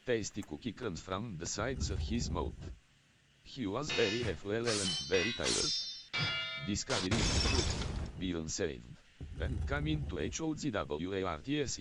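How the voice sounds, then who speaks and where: A text-to-speech male voice reading fan fiction aloud, spelling some words out letter by letter. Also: lowish voice.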